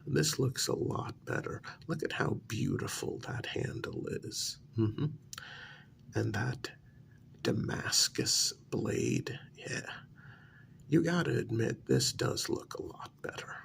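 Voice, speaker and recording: A man playing an eerily calm asylum patient in a soft ASMR role-play, breathy and gravelly, with a measured, hesitant, quietly condescending delivery. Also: fairly low pitch, a little hiss or hum, exaggerated voice. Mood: proud, curious. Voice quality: gravelly, breathy, half-whispered. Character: eerily calm male asylum patient who believes he creates reality.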